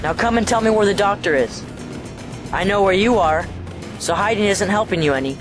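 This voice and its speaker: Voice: mid-range voice, animated, brisk, close to an everyday voice. Possessed teenage boy, played by an actor, menacing and pleading by turns, audio drama, prominent music bed. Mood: menacing, taunting. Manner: swings between needy pleading and cold taunting. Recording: music under the voice.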